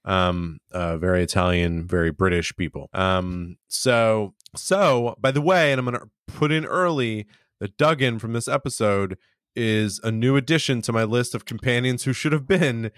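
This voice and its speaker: A man's voice: fairly low pitch, normal speed, no room sound, studio quality. A man hosting a podcast, recapping an episode in a rambling, chuckling way. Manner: rambling, chuckling, filler-heavy. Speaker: podcast host.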